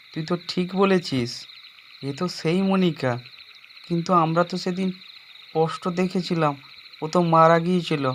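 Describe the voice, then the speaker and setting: A man playing an anxious young man with a guilty past, telling and acting out an animated horror story in a dramatic, suspenseful manner. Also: fairly high pitch, slight background noise, close to an everyday voice. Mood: frightened. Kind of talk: dramatized first-person horror story narration.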